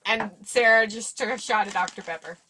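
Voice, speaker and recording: A woman's voice: mid-range voice, fairly quick, pushed and bright, slight background noise, poor audio. A young woman with a speech impediment that makes her sound like baby talk, chatting casually and rambling through a movie review with lots of fillers. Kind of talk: casual chat.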